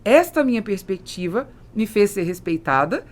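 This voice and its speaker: A woman's voice: medium pitch, big pitch swings, normal speed. A woman with a Brazilian accent hosting a podcast in a conversational interview style, telling stories in an emphatic way. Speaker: podcast host.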